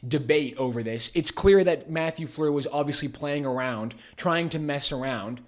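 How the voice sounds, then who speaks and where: Animated young man, commentary host. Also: fairly high pitch. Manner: animated, fast talker with many restarts. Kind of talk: political commentary chat.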